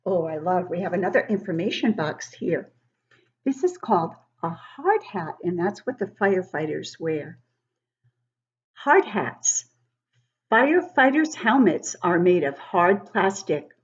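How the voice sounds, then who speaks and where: A woman reads a children's picture book at an unhurried pace, her pitch moving in lively swings. She stops to explain things simply for young listeners. The audio is somewhat dull and lo-fi. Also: husky. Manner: animated, explanatory, child-directed. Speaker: read-aloud book club host for young children.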